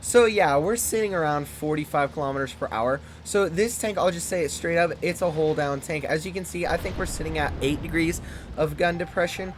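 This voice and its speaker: A man hosting a gaming channel, reviewing a tank game in loose, fast, chatty commentary. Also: a little high, a little hiss or hum.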